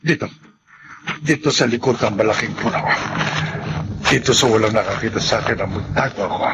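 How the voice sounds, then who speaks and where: An actor playing a vengeful, desperate manananggal in human form, with melodramatic delivery, in a horror radio drama. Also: male voice, a little fast, heavy background noise, natural voice. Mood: sinister, strained. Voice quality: high, gravelly. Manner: melodramatic, declamatory.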